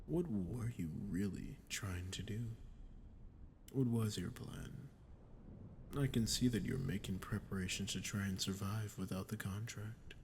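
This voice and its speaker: An ancient, menacing male demon, played by a man in an ASMR roleplay, speaks low and very slowly. His voice is gravelly and breathy, slipping toward whispers as he taunts the listener with theatrical condescension. A faint noise sits behind him. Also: a little mellow. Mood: sly menace. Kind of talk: scripted ASMR roleplay monologue.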